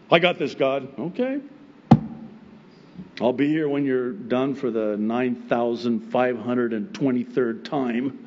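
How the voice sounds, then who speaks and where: A middle-aged man whose first language is Arabic, a pastor preaching a sermon from a pulpit microphone on a church stage, animated and chuckling often as he acts out God's replies. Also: medium pitch, muffled recording, slight background noise. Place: church stage with wood pulpit and plants, indoors.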